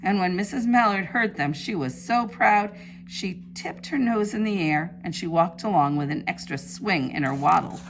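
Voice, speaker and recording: A woman reading a children's storybook aloud in an expressive, emphatic preschool-teacher style. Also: on the low side, moderate pace, noisy background.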